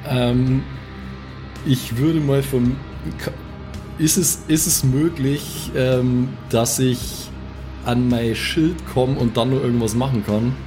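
A man's voice: medium pitch, steady tone, takes it slowly. A man playing a tabletop role-playing game, talking casually and dropping in bits of English slang. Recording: clear guitar music behind the voice.

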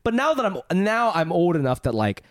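Fast-talking man, rambling chat. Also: high voice, huge pitch swings, dry recording, clean studio sound. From Japan. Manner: fast, rambling storytelling with many restarts. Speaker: podcast co-host. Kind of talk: casual chat.

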